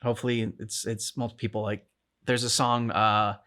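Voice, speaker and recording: A man in casual, reflective conversation as a co-host, halting and repeating words as he searches for his thoughts. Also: on the low side, little pitch movement, bright, forceful tone. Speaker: co-host of a reaction channel.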